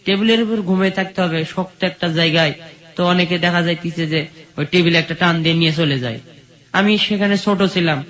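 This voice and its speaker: A man's voice: high voice, a bit bright. A man with a Bangladeshi accent telling his life story as a radio guest, in plain colloquial narration. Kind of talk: personal storytelling on a radio show. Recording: dull, lo-fi audio.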